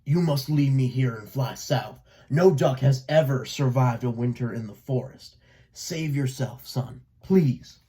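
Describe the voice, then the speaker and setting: A man's voice: mid-range voice, unhurried, dark tone, lightly acted. A man voicing a proud, wounded old father duck in a storybook, blunt and pleading. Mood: pleading, confused.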